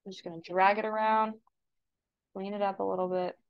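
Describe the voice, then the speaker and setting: A woman instructor talking informally through a step-by-step software tutorial as a screen-recording voice-over. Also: medium pitch, flat delivery, brisk, smooth, dull audio.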